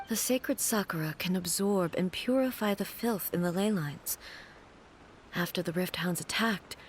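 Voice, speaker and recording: A woman voicing a calm, regal ruler. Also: medium pitch, slight background noise, natural voice. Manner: calm, measured, commanding. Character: calm, regal female ruler, serene and measured. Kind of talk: video game dialogue.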